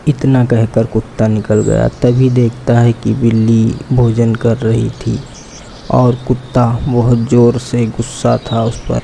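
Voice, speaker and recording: A man narrating a scripted children's story in a plain, deliberate storytelling manner. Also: mid-range voice, level tone, vocal fry, dark tone, a little hiss or hum.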